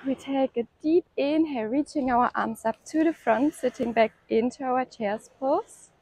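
A woman with a bright, high voice talks a class through a slow yoga flow, pacing each cue to the breath and cheering the listener along. The sound is close and dry, with no room around it. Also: no echo, rough recording. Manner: calm, encouraging cueing of breath and poses. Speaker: yoga instructor. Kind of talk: guided workout instruction.

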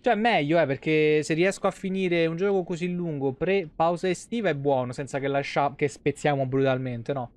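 Higher-voiced Roman-accented man, streaming casually. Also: moderate pace, hardly any pauses. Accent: central Italian, Roman. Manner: colloquial, salty-tongued, thinking aloud. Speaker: game streamer, host. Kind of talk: casual live gameplay commentary. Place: indoor streaming setup at home.

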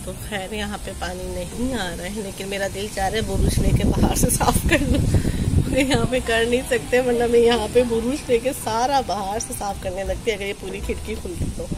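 A woman's voice: medium pitch, fairly even intonation, normal speed. Woman vlogging on her phone, sore throat, chatty, heavy rain hiss behind her. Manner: chatty, laughs often, talks to the viewers. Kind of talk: casual home vlog chat. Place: apartment by a window, rain outside. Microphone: handheld phone camera. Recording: phone recording with loud rain noise.

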